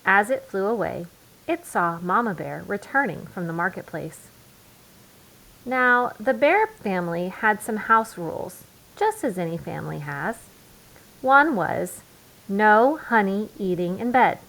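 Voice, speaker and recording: A woman narrating a children's storybook aloud in a deliberate way, with emphatic pauses. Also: mid-range voice, big pitch swings, creaky, fairly bright tone, low-quality recording. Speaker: children's book reader.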